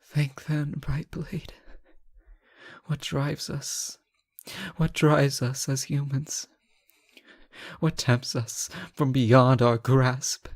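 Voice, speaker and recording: A man playing a lovesick, anguished captive ruler in a fantasy romance audio drama, halting and often dropping toward a whisper. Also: mid-range voice, level tone. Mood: confessing, resigned. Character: captive reckless but benevolent male ruler, lovesick and anguished. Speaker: audio roleplay performer.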